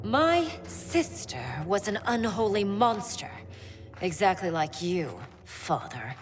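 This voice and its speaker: A woman playing a defiant royal daughter in a video game, speaking with cold, measured contempt. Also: medium pitch, sing-song. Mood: bitter contempt. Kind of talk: cinematic video game acting. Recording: loud noise behind the voice.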